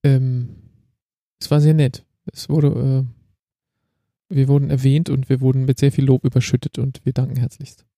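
A man co-hosting a podcast in casual chat, relaxed and explaining at length. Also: medium pitch, moderate pace, no room sound, clean studio sound. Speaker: podcast co-host.

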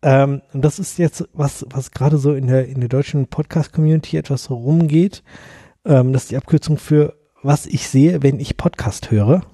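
A man with a very dark, husky voice who co-hosts a casual podcast. He backs his partner up with short replies and explains things slowly. The recording is close and dry. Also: mid-range voice, no echo. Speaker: podcast co-host.

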